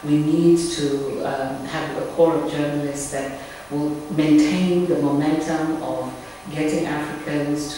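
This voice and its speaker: A woman with a Zambian accent, a media consultant and journalist, speaking in a measured, earnest way in an interview. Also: low voice, darkish tone. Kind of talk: recorded interview statement. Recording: echoey room, distant mic, faint noise.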